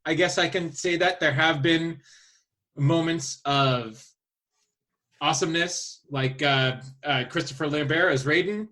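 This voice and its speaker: A man hosting a podcast in casual chat, talking in a halting, thinking-aloud way. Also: on the high side, bright, forceful tone. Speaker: podcast host.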